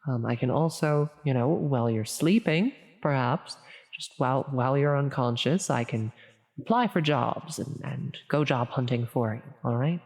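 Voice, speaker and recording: A woman's voice: rumbling low, moderate pace, darkish tone. An awkward female demon acted by a woman speaks in long, echoing reverb. She is officious yet reluctantly helpful, stammering and correcting herself like a flustered middle manager in an intimate sleep roleplay. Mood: reassuring, helpful. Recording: long reverberant hall echo.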